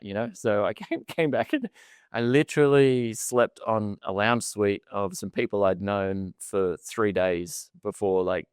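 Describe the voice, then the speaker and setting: Podcast guest: middle-aged Australian man, low-ish, smooth clear voice, chatty and self-deprecating, dry close sound. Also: no echo. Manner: chatty and self-deprecating, often repeats words and laughs. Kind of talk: casual storytelling interview.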